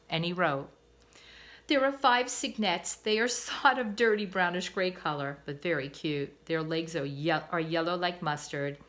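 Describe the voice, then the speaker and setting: A woman's voice: on the low side, fairly bright tone. A woman teacher reading a children's novel aloud, careful with small slips. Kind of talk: storybook read-aloud.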